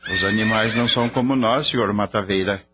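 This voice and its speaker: An actor playing a grave veterinarian in a radio drama, speaking Brazilian-accented Portuguese in a formal, explanatory manner. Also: male voice, fairly low pitch, fairly quick, natural voice. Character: grave male veterinarian explaining a risky foaling. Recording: dull lo-fi radio drama with music.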